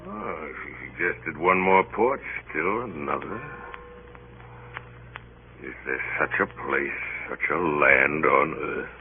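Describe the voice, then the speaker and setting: An actor playing an imposing, mocking ship passenger in a theatrical old radio drama, grandiloquent and deliberate. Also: male voice, rumbling low, lively intonation, slight background noise, heavily acted. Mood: taunting. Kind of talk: 1940s radio acting. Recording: tinny old radio broadcast, faint music.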